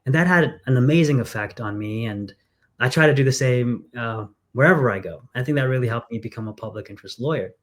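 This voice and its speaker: A man's voice: medium pitch, fast talker. A man who grew up in England, speaking as a candidate in a conversational interview, earnest and storytelling with frequent fillers. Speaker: congressional candidate.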